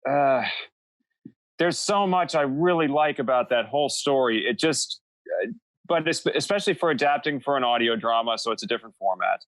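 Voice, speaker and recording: A man's voice: medium pitch, lively intonation, moderate pace, no room sound. A man speaking as a podcast guest in a casual panel discussion, explaining creative work in a rambling, self-interrupting way.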